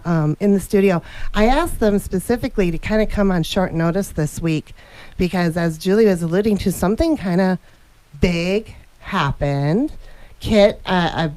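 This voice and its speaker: A woman's voice: fairly low pitch, a little mellow, no room sound, rough recording. Upbeat woman radio host, dry studio sound. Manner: upbeat, chatty, introduces guests and sponsors. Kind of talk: casual radio interview.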